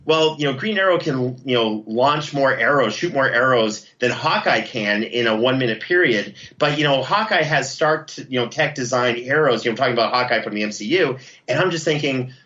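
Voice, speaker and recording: Man, podcast guest, bright forceful voice, enthusiastic, effusive, casual interview chat, slightly muffled lo-fi recording. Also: mid-range voice, lively intonation, fairly quick, hardly any pauses.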